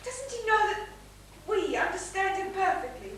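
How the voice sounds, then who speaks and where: A woman's voice: very high-pitched, very animated, moderate pace, fairly bright tone, heavily acted. A woman playing an anguished married lady torn by secret passion in a stage period comedy, with melodramatic pleading delivery. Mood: excited, flustered. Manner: melodramatic, breathless pleading, theatrical. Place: theatre stage, recorded from the audience. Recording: echoey theatre stage, distant mic, faint hiss.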